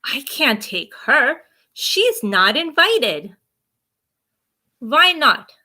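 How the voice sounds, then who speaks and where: An exasperated schoolgirl played by a woman reading a storybook, high and bright. She is the big sister, protesting and pleading in emphatic, drawn-out phrases. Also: sing-song, heavily acted. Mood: indignant. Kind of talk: children's storybook read aloud.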